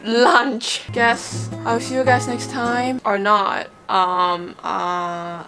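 A woman's voice: on the high side, flat delivery, drawn-out words, over music. A young woman from Hong Kong whose first language is Cantonese, talking to the camera in a casual vlog, rambling and frank, often correcting herself. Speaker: vlogger. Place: small bedroom. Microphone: camera-mounted mic, seated in front of camera.

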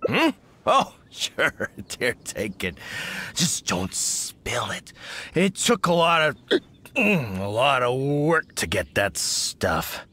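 A man playing a tipsy, jolly middle-aged hunter father in a video game, rambling in halting, slurred speech. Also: medium pitch, big pitch swings, bright, forceful tone, exaggerated voice. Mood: tipsy, agreeable. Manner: slurred, halting drunken delivery with hiccups. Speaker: game character voice actor. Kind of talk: video game character dialogue.

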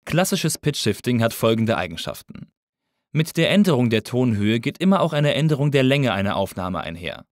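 Man, instructor, scripted lesson narration, measured delivery, very dry recording. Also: mid-range voice, big pitch swings, no room sound. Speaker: audio engineering instructor.

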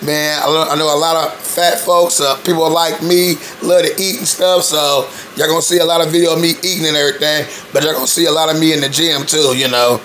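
A man in his thirties, a little hoarse, rambling loosely and slangily in a home-cooking vlog recorded on a handheld phone in his kitchen. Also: fairly high pitch, flat delivery, brisk, hardly any pauses, fairly bright tone, a little hiss or hum. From Evansville, Indiana, US. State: a bit hoarse. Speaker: cooking vlogger. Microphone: phone held at arm's length.

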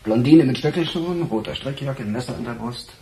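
An actor playing a weary, middle-aged police chief in a radio crime drama, musing in a husky, sardonic, halting way. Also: male voice, medium pitch, dark tone, slight background noise, close to an everyday voice, poor audio. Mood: brooding, agitated. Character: weary, embattled male police chief, brooding and gruff. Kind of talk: naturalistic German radio crime drama acting.

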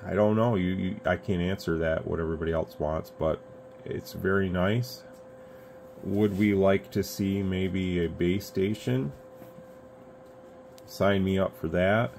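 A man's voice: low voice, measured pace, gravelly. An American man giving casual, unscripted product commentary as a video host, rambling along conversationally. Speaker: radio reviewer, video host. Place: indoors at an electronics radio bench. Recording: steady background noise, room ambience.

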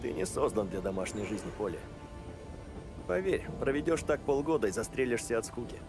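An actor playing a cautious, reluctant mobster with a family in a crime game, over music. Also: male voice, mid-range voice, animated, lightly acted. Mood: wary. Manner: measured, reluctant. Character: cautious family-man mobster, calm and wary.

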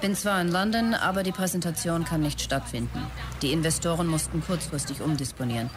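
A man's voice: on the high side, fairly quick, music in the background, lightly acted. An actor playing a visionary, demanding male startup executive in a radio drama, speaking tersely and emphatically. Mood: harried, curt. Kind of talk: radio drama, characters dictating messages.

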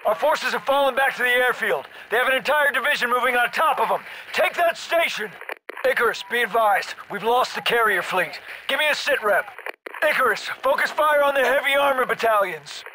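An actor playing a gruff, commanding middle-aged special forces soldier in a military shooter game, giving clipped, urgent orders. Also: male voice, very high voice, expressive, a little fast, bright, forceful tone, slight background noise, heavily acted, poor audio.

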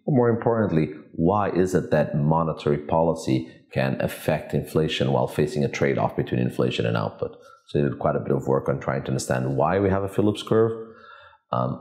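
Deep-voiced man from Portugal in his forties, measured academic, roomy office sound. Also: creaky, a little mellow. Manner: measured, academic, thinks aloud with restarts. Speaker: economics professor. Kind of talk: interview answers to camera. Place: office with glass walls, indoors.

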